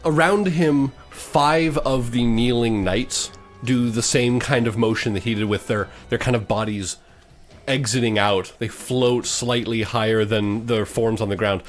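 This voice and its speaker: A man narrating a tabletop game as game master, improvising in a halting way. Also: mid-range voice, fairly even intonation, soft background music.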